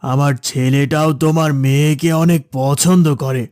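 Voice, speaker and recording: A man's voice: a little high, fairly even intonation, moderate pace, darkish tone, dry recording, clean studio sound, lightly acted. A man voicing a pushy, scheming village headman in a cartoon, domineering and wheedling by turns. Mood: pressing, smug. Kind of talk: Bengali cartoon voice acting.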